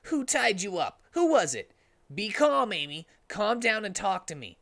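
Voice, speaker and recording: A man's voice: sits high, big pitch swings, measured pace, creaky, fairly bright tone, pitched above the performer's own voice, exaggerated voice. A man puts on a brash young hedgehog hero from a cartoon. The voice is raised and swings widely, with earnest, exaggerated emphasis and a goofy edge. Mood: urgent.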